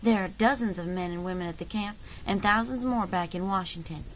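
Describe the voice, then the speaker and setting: A woman's voice: medium pitch, brisk, slight background noise, lightly acted, poor audio. A woman playing a shrewd, unflappable frontier scout leader in an audio drama, with a wry, persuasive drawl. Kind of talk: dramatic audio fiction acting.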